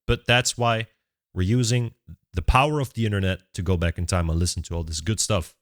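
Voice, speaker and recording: Young man, deep voice, dry close sound, casual reaction chat, thinks aloud. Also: a little fast, no echo. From Netherlands. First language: Dutch. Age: 20s. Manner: thinks aloud, restarts words mid-sentence. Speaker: music reaction channel host.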